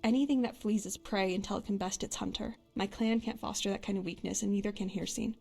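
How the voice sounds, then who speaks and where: A woman's voice: medium pitch, fairly even intonation, fast talker, vocal fry, darkish tone. An actress voicing a young woman adventurer and hunter, a guilt-haunted but bold companion in a fantasy game, speaking in a natural, conversational way. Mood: haunted, regretful. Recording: music under the voice.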